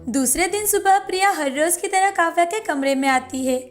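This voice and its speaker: A woman's voice: very high voice, moderate pace. A woman narrating a scripted moral story for a cartoon, in the manner of a storyteller. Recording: music bed behind the voice.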